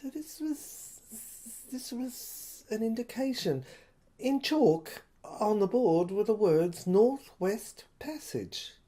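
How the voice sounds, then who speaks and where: A woman giving dramatic first-person narration for an audio play, halting and repeating phrases as if thinking aloud. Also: on the high side, lively intonation, mellow.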